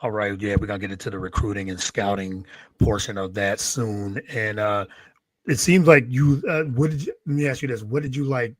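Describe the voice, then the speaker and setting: A man's voice: lowish voice, a little fast, dry recording. A man hosting a live podcast, asking friendly, rambling questions with restarts. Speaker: podcast host.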